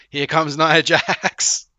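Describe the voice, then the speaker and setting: Bright, sarcastic, swearing game coach. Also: male voice, on the high side, level tone, extremely slow, no echo. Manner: sarcastic, profane, joking. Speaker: game coach reviewing replays. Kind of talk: live-streamed replay commentary. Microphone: headset.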